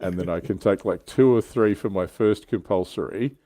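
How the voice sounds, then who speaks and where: A man's voice: low voice, normal speed, dark tone, no room sound. A man hosting a hobby livestream in casual, enthusiastic chat. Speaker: show host on a hobby livestream.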